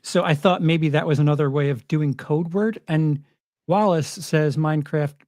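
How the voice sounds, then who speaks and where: A man with a slightly high voice takes part in a casual livestream panel. His speech is informal and conversational, and the sound is dry and close. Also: normal speed, a little mellow, no room sound.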